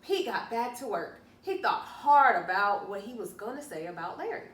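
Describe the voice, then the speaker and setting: A woman teacher reading a story aloud to young children, expressive and deliberate, stretching key words for emphasis. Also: mid-range voice. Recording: roomy, distant mic, faint noise.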